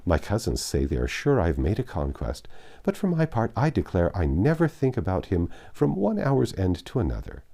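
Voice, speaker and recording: A man's voice: sits low, wildly swooping pitch, moderate pace, gravelly, dark tone, heavily acted. A man voicing a vain, silly chatterbox of a woman in an affected, simpering manner for a novel reading. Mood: coy, simpering.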